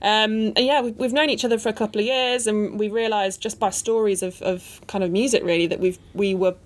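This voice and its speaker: A woman's voice: mid-range voice, steady tone, brisk. A woman co-hosting a music podcast, chatting in a playful, teasing way and laughing easily. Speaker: podcast co-host, blogger. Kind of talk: casual podcast chat.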